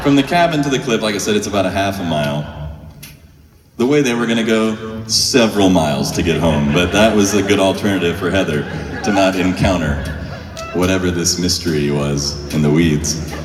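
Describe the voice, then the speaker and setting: A man's voice: low voice, a little fast, noisy background. A man telling a wry, humorous story live on stage to an audience, in a colloquial way. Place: large hall, live stage show. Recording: echoey hall, distant, music behind.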